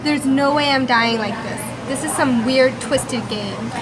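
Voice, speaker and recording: A woman's voice: high voice, measured pace, noisy background. A woman playing a defensive young female criminal in an amateur radio play.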